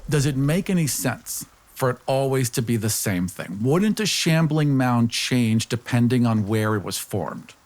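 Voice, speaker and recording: Lively, swooping voice of a podcast host. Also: mid-range voice, measured pace, few pauses. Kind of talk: casual reactive chat.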